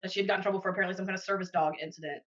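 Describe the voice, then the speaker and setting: Fast-talking woman on a muffled phone call. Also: fairly low pitch, steady tone, fairly bright tone. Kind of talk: casual argumentative phone conversation. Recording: dull lo-fi phone call recording.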